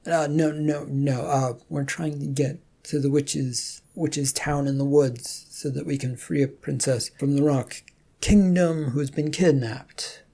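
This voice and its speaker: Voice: mid-range voice, fairly even intonation, a little slow, vocal fry, mellow, breathy edge. A storybook narrator voicing an awkward male knight with a hesitant, stammering manner. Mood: flustered. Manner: stammering, unsure.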